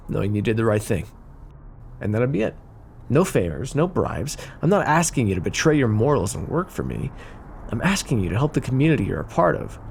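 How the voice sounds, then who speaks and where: Man acting a gravelly, flirty mafia boss. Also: medium pitch, a little mellow, heavily acted. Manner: teasing, smooth-talking, theatrical. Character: flirty, smug, scheming male mafia boss. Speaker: voice actor in audio roleplay. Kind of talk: ASMR roleplay acting, addressed to listener.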